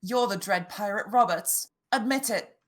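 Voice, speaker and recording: A woman's voice: medium pitch, normal speed, lightly acted. A woman playing a proud, grieving young noblewoman, haughty and bitterly defiant, in a fairy-tale table read. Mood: cold fury. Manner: haughty, wounded, intense.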